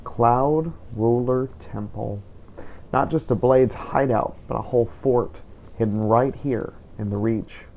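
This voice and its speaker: A man's voice: mid-range voice, takes it slowly, creaky. A man narrating a fantasy game playthrough in character, as the host of the video. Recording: dull lo-fi voice-over, faint noise.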